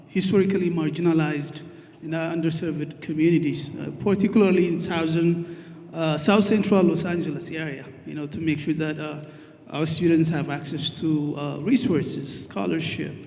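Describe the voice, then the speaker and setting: A man from Ethiopia introducing himself on a conference panel, pausing often with fillers. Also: fairly high pitch, vocal fry, a little mellow. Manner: hesitant, many "uh" fillers. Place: conference hall. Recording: long reverb of a hall.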